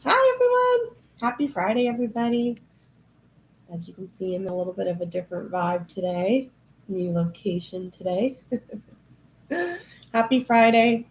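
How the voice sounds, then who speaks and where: A young adult woman with a gravelly, creaky edge and a nasal, cold-stuffed voice hosts a casual livestream. She rambles cheerfully and amuses herself as she talks to her viewers from an apartment. There is a faint hiss of noise under her voice. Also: medium pitch, expressive, normal speed, pauses often, poor audio. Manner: chatty, rambling, self-amused. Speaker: podcast host. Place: high-rise apartment indoors.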